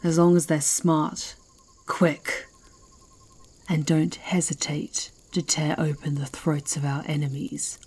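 A fierce werewolf chieftess, acted by a woman for an ASMR fantasy roleplay. Her voice is low and husky, and she talks slowly, with proud, mocking authority. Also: fairly even intonation, a little mellow, heavily acted. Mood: stern, proud. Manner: slow, taunting, imperious.

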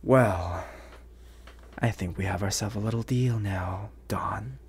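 A man voicing a suave, menacing, flirtatious vampire bounty hunter in an ASMR roleplay, teasing in breathy half-whispers with lingering pauses. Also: lowish voice, noisy background. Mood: threatening, smug. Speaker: voice actor.

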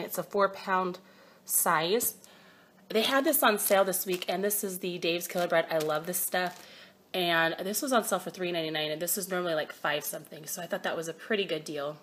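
Hoarse, chatty woman with a cold. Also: on the low side, a bit bright. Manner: chatty, rambling, hesitant with many ums. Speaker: vlogger. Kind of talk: casual grocery haul show-and-tell. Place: at home, by a table.

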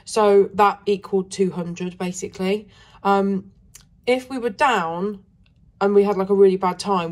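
A woman with a croaky voice from a cold, chatting casually and rambling through a travel vlog with frequent ums. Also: fairly low pitch, darkish tone. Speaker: travel vlogger.

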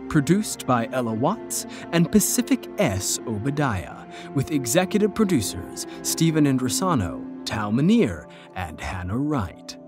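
Man announcing credits with lively intonation. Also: medium pitch, a little slow, hardly any pauses, over music. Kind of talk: scripted credits reading.

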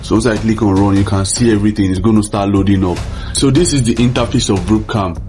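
Male tutorial host, lower-pitched, informal explainer delivery with frequent check-ins, music underneath. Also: fast talker, warm tone. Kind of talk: casual software tutorial. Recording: music behind the voice. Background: background music.

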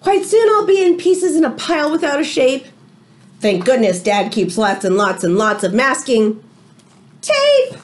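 A woman voicing an anxious, easily horrified little boy in a rhyming picture book, with dramatic drawn-out words. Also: high-pitched, sing-song. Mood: worried.

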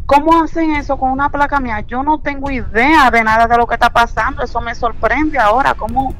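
A woman's voice: high voice, brisk, fairly bright tone. A nervous, distressed young woman on a phone call, protesting in a pleading, repetitive way. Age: young adult. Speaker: prank call target. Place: on the other end of a phone call. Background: music.

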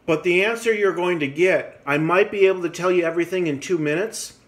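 A man's voice: high-pitched, brisk. A man making a casual, friendly phone call, talking emphatically and repeating his phrases. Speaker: caller.

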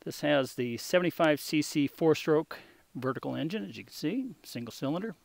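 A man presenting a restored vintage motorcycle for sale, talking in an unhurried way with a touch of humor while the camera circles the bike outdoors. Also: a little high, expressive, vocal fry, no room sound. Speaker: classic motorcycle dealer. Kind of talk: off-camera sales walkthrough.

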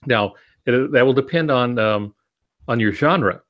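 A man's voice: mid-range voice, measured pace, highs cut off. A man in his sixties co-hosting a podcast, explaining points from a home office on a video call. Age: 60s. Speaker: podcast co-host. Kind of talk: explanatory podcast talk on writing.

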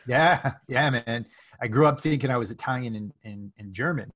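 A middle-aged man hosting a podcast interview, relaxed and friendly, often ending with "right?". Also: mid-range voice, normal speed. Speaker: podcast host. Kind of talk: casual conversational interview. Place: home office. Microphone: video call.

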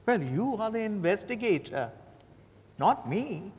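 A man's voice: high-pitched, sing-song, a little slow, a little mellow, breathy edge, heavily acted. An older man acting a gossipy witness confiding suspicions in a theatrical dramatized stage reading. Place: large hotel ballroom stage. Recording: echoey hall, picked up from the audience.

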